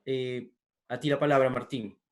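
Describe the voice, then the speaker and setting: A man's voice: medium pitch, little pitch movement, moderate pace. A man moderating a virtual panel on a video call, courteously thanking speakers and handing over the floor.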